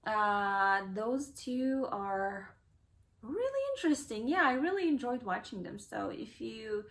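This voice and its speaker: A young woman whose first languages are Kazakh and Russian, reading questions aloud and answering them in a relaxed, rambling way with plenty of fillers. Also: on the high side, measured pace. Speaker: vlogger. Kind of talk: casual Q&A vlog.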